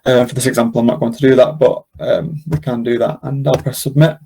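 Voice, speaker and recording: A man leads a software demonstration in an informal, step-by-step way. His voice is relaxed and mellow, with very little melodic movement and some gravelly fry. He explains things off the cuff, often pausing on an um. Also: medium pitch. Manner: explanatory, unscripted and hesitant, with frequent ums. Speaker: presenter of a software demonstration webinar. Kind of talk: informal step-by-step screen-share tutorial.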